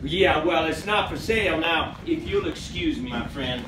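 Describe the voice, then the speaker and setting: A man's voice: on the high side, huge pitch swings, moderate pace. A man on a theatre stage playing a bitter, weary tinkerer accused of a crime, in a live radio-play style. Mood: wary, dismissive. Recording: echoey theatre, distant mic, noisy background.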